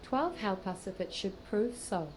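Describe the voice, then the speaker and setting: A woman's voice: medium pitch, expressive, background noise, lightly acted. An actress playing an earnest, scholarly female sage in a fantasy game, pensive and formally spoken. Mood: wary pondering. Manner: measured, pensive, archaic phrasing. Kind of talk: scripted fantasy game dialogue.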